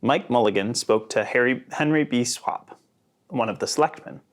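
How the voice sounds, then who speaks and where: A man reading a children's picture book aloud in an expressive storytelling manner. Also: mid-range voice, normal speed. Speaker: storybook reader.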